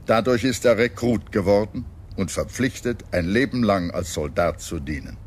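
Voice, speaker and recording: A man reading a scripted history documentary in a measured, expressive storytelling manner. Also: lowish voice, a little hiss or hum. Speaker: documentary narrator. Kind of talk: scripted documentary narration with dramatized quotes. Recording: music under the voice. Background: background music.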